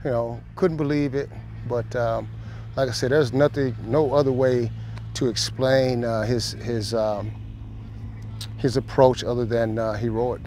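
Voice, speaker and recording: A middle-aged man gives a halting interview recollection. His pitch is ordinary, and faint outdoor ambience sits behind him. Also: fairly even intonation, dark tone, a little hiss or hum.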